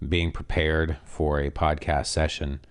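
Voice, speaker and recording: Deep-voiced nasal man from Canada. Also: clear, resonant tone. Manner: relaxed, unhurried, many fillers. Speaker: podcast co-host. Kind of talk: casual podcast chat. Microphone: podcast microphone.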